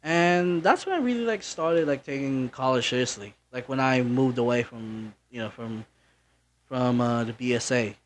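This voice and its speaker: A man's voice: mid-range voice, moderate pace, slight background noise. Rambling young Filipino man podcasting. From Philippines. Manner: rambling, many fillers and restarts; offhand, mildly profane. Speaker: podcast host. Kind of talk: casual solo podcast chat.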